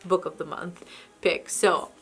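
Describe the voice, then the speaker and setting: A woman's voice: lowish voice, fairly even intonation, measured pace, a bit bright, husky. A woman who speaks English as a second language, chatting casually about books she bought, with frequent restarts and hesitations. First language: not English. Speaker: book vlogger. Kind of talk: casual book haul chat.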